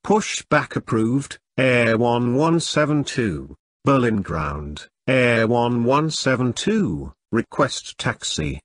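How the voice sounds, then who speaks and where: A man reading scripted pilot radio calls in a measured, formulaic way for an English lesson. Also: medium pitch, big pitch swings, dry recording. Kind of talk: scripted radio phraseology readback.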